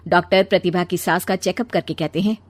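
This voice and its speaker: A woman's voice: lowish voice, fairly even intonation, a little fast, dry recording. A woman reading scripted story narration.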